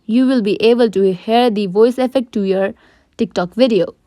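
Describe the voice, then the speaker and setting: Higher-voiced woman giving a tutorial, dry sound. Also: normal speed, warm tone, dry recording. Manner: simple, instructional, addressing viewers directly. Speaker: tutorial presenter. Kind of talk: step-by-step app tutorial narration.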